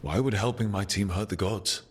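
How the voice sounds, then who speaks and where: An actor playing an earnest, weary but determined team leader in a video game, plain-spoken and serious. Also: male voice, fairly low pitch, moderate pace, slight background noise, natural voice. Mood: worried.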